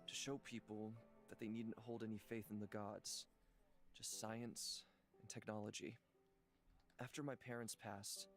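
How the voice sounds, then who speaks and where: An actor voicing a gentle, weary immortal healer haunted by old suffering, speaking slowly and softly in a dramatic visual novel style. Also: male voice, fairly low pitch, natural voice. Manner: slow, halting, soft-spoken confiding. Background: background music.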